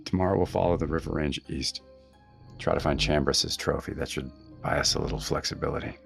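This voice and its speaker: An actor playing an embattled, stubborn middle-aged starship navigator in a science fiction audio drama. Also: male voice, very deep voice, brisk, vocal fry, soft background music, heavily acted. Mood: guarded, weary.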